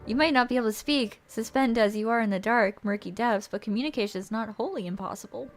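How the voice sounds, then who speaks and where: High-voiced woman narrating a storybook-style game. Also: fast talker. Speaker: streamer reading a game aloud. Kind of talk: theatrical storybook narration of visual novel. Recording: music behind the voice.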